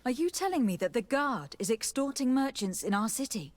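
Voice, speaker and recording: A woman's voice: a little high, very animated, a little fast. A woman playing a firm, formal noble legate questioning people in a video game, in an authoritative manner. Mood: composed, formal. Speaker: game protagonist. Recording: music behind the voice.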